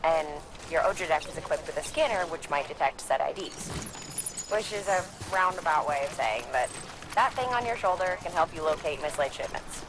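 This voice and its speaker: A helpful technical adviser in a video game tutorial, voiced by an actor. The voice is mid-pitched, matter-of-fact and a little wry. Clear noise runs behind it. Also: expressive, moderate pace, close to an everyday voice. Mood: helpful explaining.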